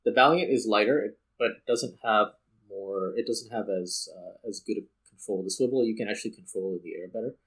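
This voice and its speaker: Male gaming host, slightly low and raspy, casual play-along commentary, thinks out loud as he goes. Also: a little fast, poor audio. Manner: thinks aloud step by step. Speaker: host playing a space flight game.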